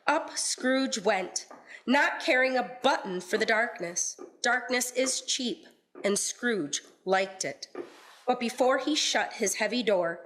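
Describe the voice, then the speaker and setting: Slow, measured woman narrator. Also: medium pitch. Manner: measured, deliberate. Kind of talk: dramatic storybook narration for radio play.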